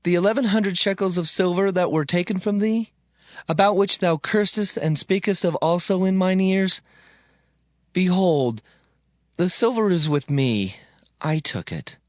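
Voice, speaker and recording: A man's voice: high voice, a little slow, no room sound, clean studio sound. A man playing an earnest Israelite son and householder, eager to please, in a dramatized audio Bible. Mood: confessing.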